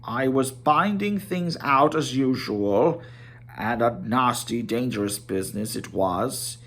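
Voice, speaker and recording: A man voicing a grave old wizard in a storybook reading, stern, proud, slow and grim in manner. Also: medium pitch, expressive, a bit bright, exaggerated voice.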